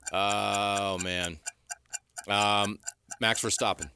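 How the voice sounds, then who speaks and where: A man's voice: on the low side, fairly even intonation, slow, creaky, bright, forceful tone, slight background noise. A man co-hosting a sports radio show, chatting and bantering casually with his partner. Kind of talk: casual banter, quiz guessing.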